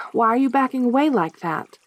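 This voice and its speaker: A woman playing a lonely, possessive centaur girl in a soft-spoken audio roleplay, with a halting, stammering delivery. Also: on the high side, expressive, no echo, natural voice. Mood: desperate, forceful.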